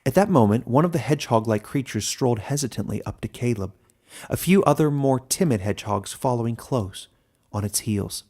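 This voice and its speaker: A man reading scripted fantasy narration as a storyteller, deliberate and expressive. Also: medium pitch.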